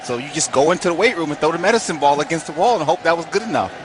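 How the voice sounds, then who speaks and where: A man, a former player, giving relaxed, folksy color commentary on a baseball radio broadcast. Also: on the high side, background noise. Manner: folksy, conversational, a few halting restarts.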